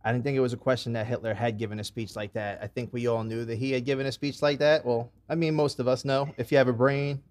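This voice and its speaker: A man's voice: medium pitch, fairly even intonation, fast talker, hardly any pauses, darkish tone. A young man with a mid-range voice, chatting casually on a headset from his room at home. He rambles and restarts his sentences, and drops curses easily as he goes. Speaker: podcast host. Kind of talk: casual chat. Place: home room with red lights on video call.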